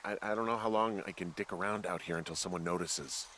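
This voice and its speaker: A man's voice: low-pitched, fast, heavy background noise, natural voice. An actor playing a sarcastic, suspicious everyman fire lookout in a video game, with an American accent and a plainspoken, naturalistic delivery. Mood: frustrated. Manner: sardonic, plainspoken.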